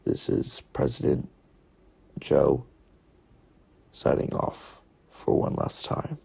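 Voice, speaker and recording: A man playing a solemn, halting president giving an address to the nation. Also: warm tone, natural voice. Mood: grave, weary. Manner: slow, halting, with heavy pauses.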